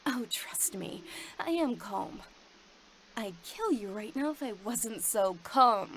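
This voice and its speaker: High-voiced, curt detective boss. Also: female voice, lively intonation, slow pace, a bit bright, slight background noise. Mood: angry, accusing. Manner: curt, defiant. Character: sharp, guarded female detective boss with a short temper. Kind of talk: visual novel voice acting. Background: music.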